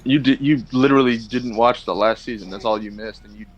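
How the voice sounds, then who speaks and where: A young man in his twenties leads a loose, joking chat with his tabletop group. His voice sits at an ordinary middle pitch, and he trades quick banter with his friends. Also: lo-fi sound. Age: 20s. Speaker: dungeon master. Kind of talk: casual chat before a tabletop game stream.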